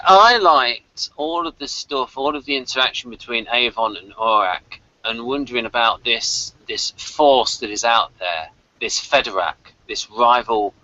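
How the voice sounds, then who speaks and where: A man's voice: mid-range voice, bright, forceful tone, lo-fi sound. A man hosting a casual fan discussion, reviewing audio dramas.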